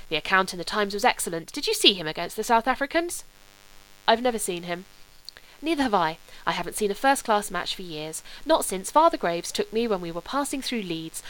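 A woman reading an audiobook, voicing a gentle, wistful older male priest who talks in a mild, reminiscing way. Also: mid-range voice, natural voice, poor audio.

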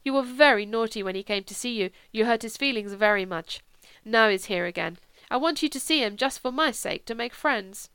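A woman voicing a tactful, anxious mature woman in an audiobook, carefully persuasive and softly pleading. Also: a little high, fairly quick, hardly any pauses, clean tone, no room sound. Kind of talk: audiobook character dialogue.

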